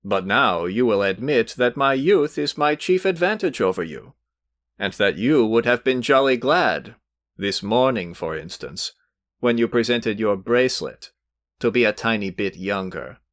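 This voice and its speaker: A man's voice: mid-range voice, lively intonation, a little slow, fairly bright tone, no room sound, clean studio sound. A man reading aloud voices a brash, insolent young man who talks hotly with a raised voice.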